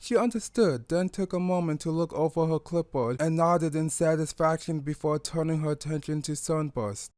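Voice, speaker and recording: A man's voice: on the high side, normal speed, hardly any pauses, darkish tone, dry recording. A man reading scripted story narration in an earnest, sentimental way. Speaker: fan fiction narrator.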